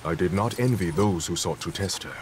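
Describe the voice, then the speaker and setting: An actor playing a noble superhero king who narrates his quest in a grave, formal, measured way for a video game. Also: sits low, very noisy, lightly acted. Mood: solemn, proud. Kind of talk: scripted dramatic game narration. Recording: music behind the voice.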